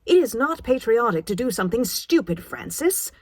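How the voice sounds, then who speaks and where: Woman as a disapproving society mother, husky. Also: fairly high pitch, very animated, moderate pace, dry recording, clean studio sound, pitched above the performer's own voice. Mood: bitter rebuke. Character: disapproving, status-conscious middle-aged society mother.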